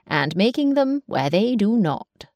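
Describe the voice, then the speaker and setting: A woman voicing a charming, careless young gentleman who teases his sister affectionately. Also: mid-range voice, very animated, unhurried, no echo, highs cut off. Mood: breezy. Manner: airy, teasing, affectionate.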